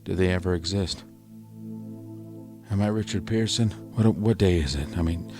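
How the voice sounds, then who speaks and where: A man playing a haunted, lonely professor in a brooding, measured radio drama monologue. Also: deep voice, music in the background, lightly acted. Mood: bewildered, despairing. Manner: brooding, measured, searching questions. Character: haunted, lonely male professor, survivor writing notes.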